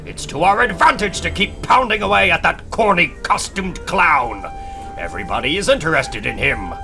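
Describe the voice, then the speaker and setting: A man's voice: on the high side, lively intonation, normal speed, vocal fry, bright, forceful tone, faint music behind, exaggerated voice. A man playing a blustering, greedy newspaper boss, bossy and emphatic, in a dramatic comic book reading. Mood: indignant bluster.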